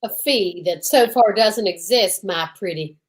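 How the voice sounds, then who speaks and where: A woman's voice: mid-range voice, unhurried, lightly acted. A woman playing an eccentric, tipsy male photographer with a pompous, flowery, theatrical manner in old-time radio style. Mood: theatrical, incredulous. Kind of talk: old-time radio comedy-detective acting.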